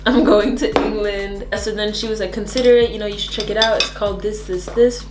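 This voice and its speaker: A woman's voice: mid-range voice, steady tone, a little fast, loud music behind the voice. Laid-back young woman, echoey room. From Sweden. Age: young adult. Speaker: guest friend. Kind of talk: casual chat.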